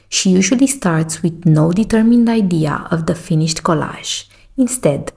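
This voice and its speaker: A woman's voice: fairly low pitch, gravelly, mellow. A woman hosting a podcast, reading a scripted introduction and asking enthusiastic, earnest questions. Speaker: podcast host.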